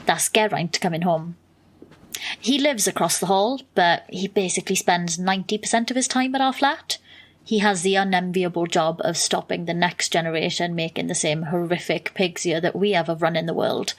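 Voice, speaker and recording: A woman's voice: on the low side, lightly acted. An actress playing an exasperated, wry young woman, narrating and talking in an audio drama. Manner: dry, sarcastic.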